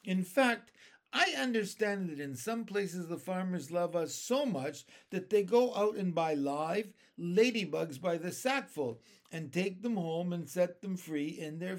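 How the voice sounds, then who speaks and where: A man's voice: high voice, lively intonation, a little slow, voice pushed up. A man voicing a proud, chatty female ladybug in a genteel, modest yet snobbish manner for a storybook. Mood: modest pride.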